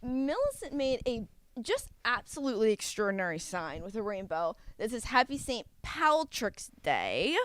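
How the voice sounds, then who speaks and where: A woman's voice: a little high, huge pitch swings, normal speed, fairly bright tone, dry recording. A young woman co-hosting a podcast in casual, playful chat, giggling often. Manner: giggly, playful, tries silly accents. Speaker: podcast co-host. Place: indoor set.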